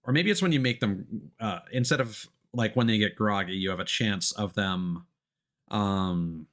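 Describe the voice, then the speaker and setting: A man's voice: on the low side, unhurried, fairly bright tone. A man giving live let's play commentary as a streamer, reading game text aloud and adding hesitant explanatory asides.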